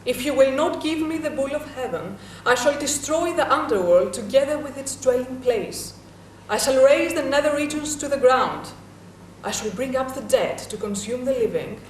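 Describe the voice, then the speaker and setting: A woman reading the part of a wrathful, imperious goddess in a dramatic reading, high and shrill. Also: animated, normal speed, slight background noise. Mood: threatening. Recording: echoey room, distant mic.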